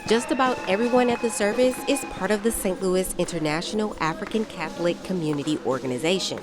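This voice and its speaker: A woman's voice: mid-range voice, quick pace, over music. A woman reporter reading a scripted radio news feature.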